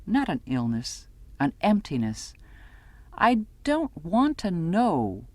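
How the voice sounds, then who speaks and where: A woman reading scripted first-person literary fiction as an audiobook narrator, with measured, dramatic phrasing. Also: lowish voice, huge pitch swings, dry recording.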